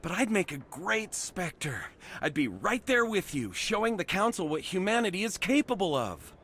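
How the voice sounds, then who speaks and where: An actor playing an eager, starstruck fan of a space hero in a video game, gushing and earnest. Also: male voice, high voice, sing-song, moderate pace, fairly bright tone, slight background noise. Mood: pleading.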